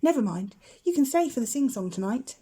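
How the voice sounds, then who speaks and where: A woman's voice: on the high side, big pitch swings, fairly quick, husky. A woman voicing a cheerful, teasing older boy, a matter-of-fact scout in a storybook. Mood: friendly. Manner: breezy, exclaiming, gently mocking.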